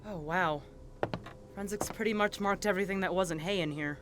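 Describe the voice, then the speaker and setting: A woman's voice: lowish voice, brisk, noisy background, lightly acted. An actress playing a determined but guarded female investigator in an audio drama, earnest and a little hesitant. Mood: alert, searching.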